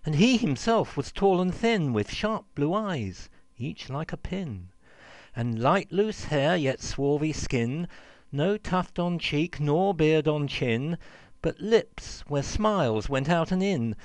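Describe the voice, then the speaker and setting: A man reading a children's verse story aloud in an expressive, theatrical and rhythmic way. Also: a little high, no echo. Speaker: reader, storyteller.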